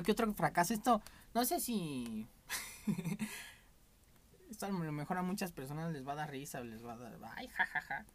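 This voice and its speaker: Mexican podcast host, young man, candid rambling storyteller, faint room noise. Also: a little high. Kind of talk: casual podcast chat.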